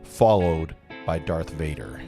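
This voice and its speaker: A man's voice: a bass voice, animated, slow pace, dark tone, soft background music. A man reading screenplay scene directions aloud as the narrator of a table read.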